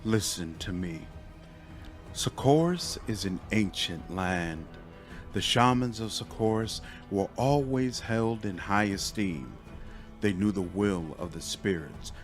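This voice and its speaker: A seductive, bloodthirsty shaman woman from a fantasy game, played by a man. The voice is low and hushed, moving slowly and deliberately with eerie composure. Music runs underneath. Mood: solemn, ominous. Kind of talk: dramatic fantasy game dialogue.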